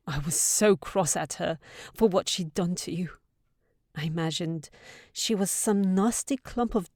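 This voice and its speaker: A woman's voice: on the low side, warm tone, no echo, clean studio sound. A woman playing a sensible young housekeeper secretly in love with a wizard, in an intimate, earnest audio roleplay. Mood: tender, regretful.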